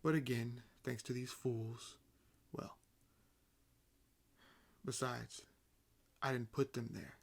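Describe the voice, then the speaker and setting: Ancient male vampire, acted by a man, unhurried and even-toned, nonchalant, condescendingly amused, for roleplay audio. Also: mid-range voice, gravelly. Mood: explaining calmly. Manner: unhurried, wry, theatrical pauses. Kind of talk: roleplay audio acting, addressing the listener.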